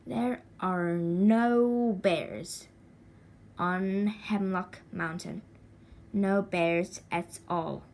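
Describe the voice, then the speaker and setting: A woman's voice: on the low side, steady tone, extremely slow, a little mellow. A woman voicing a small boy who chants rhythmically to himself to keep up his courage, in a storybook reading. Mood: brave, self-reassuring. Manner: rhythmic sing-song chant, marking time.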